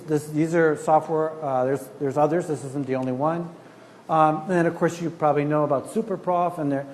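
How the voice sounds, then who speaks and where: A man giving a conversational talk at a conference, relaxed and chatty with frequent restarts. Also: a little high. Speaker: conference speaker. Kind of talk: conversational lecture. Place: conference hall. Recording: reverberant hall with faint noise.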